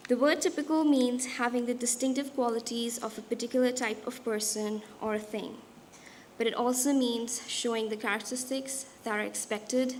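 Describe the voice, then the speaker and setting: A young woman from India with an Indian accent, giving a prepared talk as a guest speaker in a measured, deliberate way. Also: a little high, a little hiss or hum. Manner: measured, deliberate delivery with occasional restarts. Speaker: guest speaker, designer and artist.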